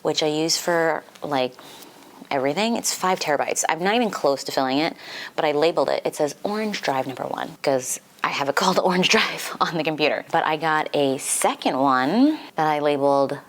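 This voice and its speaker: A young woman with a low voice talks casually to her viewers as a book vlogger. She sounds a little stuffed up from a recent flu, and her delivery is informal and giggly, peppered with "like". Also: lively intonation, fairly quick. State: recovering from flu, slightly congested. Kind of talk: casual chatty life-update vlog.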